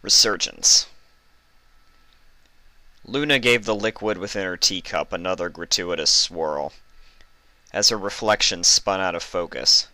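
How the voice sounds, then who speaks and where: A man reading stories as a host, with expressive narration into a close microphone. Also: sits low, no room sound. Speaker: story reader and channel host. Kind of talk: expressive scripted storybook narration with casual introduction.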